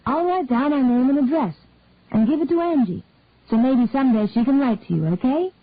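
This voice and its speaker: A woman voicing a gentle young mother who speaks tenderly and soothingly, with a husky edge and a tinny phone-like sound. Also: high-pitched, expressive, brisk, dry recording, pitched above the performer's own voice.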